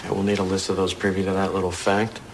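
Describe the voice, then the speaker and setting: A man's voice: low-pitched, completely flat delivery, fast, a little hiss or hum. An actor playing a direct, probing male police detective in an audio drama.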